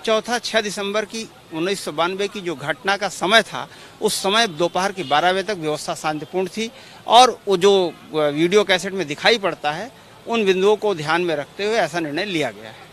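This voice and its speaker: A man's voice: sits high, moderate pace, a bit bright. A man, a lawyer giving formal, repetitive explanations in a press interview. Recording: outdoor street noise behind.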